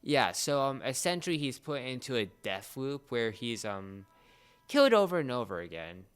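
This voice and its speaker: Clear-voiced man chatting and explaining, halting delivery. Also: medium pitch, sing-song. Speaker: podcast co-host explaining a series. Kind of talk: casual chat, explainer.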